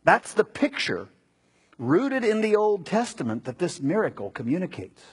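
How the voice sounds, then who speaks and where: A man preaching a sermon as a pastor, teaching with deliberate, emphatic phrasing. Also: high voice, big pitch swings, breathy edge.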